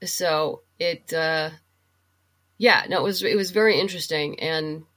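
A woman's voice: sits low, measured pace, fairly bright tone. A middle-aged woman chatting and explaining things casually as a guest in an interview. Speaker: podcast guest, writer and producer.

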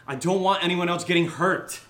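A man playing an impulsive, eager young American schemer in a comedic audio drama, talking in a casual, rambling, excitable way. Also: brisk. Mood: defensive, rueful. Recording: low-level home recording, faint noise.

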